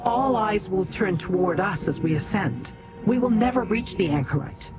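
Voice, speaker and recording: A woman playing a solemn mystic guide in a fantasy video game, measured and formal, with music behind. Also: on the low side, close to an everyday voice, low-quality recording. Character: solemn, urgent mortal-addressing mystic guide and schemer.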